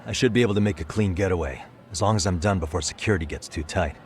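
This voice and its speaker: A man's voice: sits low, very fast, darkish tone, a little hiss or hum, natural voice. An actor playing a cool, determined male detective in a video game, talking in a terse, casual, unfazed way. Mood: confident. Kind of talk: English video game voice acting.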